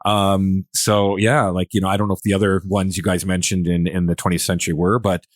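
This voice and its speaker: A man's voice: low-pitched, flat delivery, a little fast, no echo, studio quality. A man hosting a casual podcast discussion, agreeable and rambling as he thinks aloud. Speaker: podcast host.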